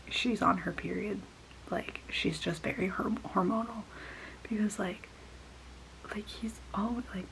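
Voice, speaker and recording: Young woman whispering a rant into the camera, gravelly fry, kinda annoyed and gossipy, faint hiss in the background. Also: on the low side, rough recording. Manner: exasperated, gossipy, filler-heavy with frequent little laughs. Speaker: vlogger. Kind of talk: whispered casual vlog rant.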